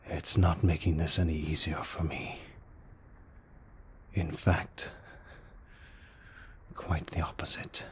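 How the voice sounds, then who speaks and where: A man performs a brooding, courteous vampire for an ASMR roleplay, with a low, hushed voice that often sinks into breathy whispers. He speaks slowly and formally, in a narrow, controlled tone, as if holding back his hunger. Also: little pitch movement, slight background noise. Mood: tempted, yearning. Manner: formal, deliberate, brooding delivery. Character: tormented, courteous male vampire fighting his bloodlust. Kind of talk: ASMR roleplay, slow intimate monologue.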